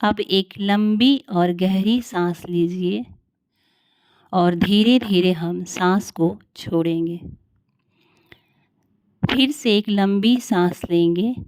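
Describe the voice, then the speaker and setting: A woman leading a guided meditation in a calm, soothing, unhurried way with long pauses. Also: fairly low pitch, dry recording.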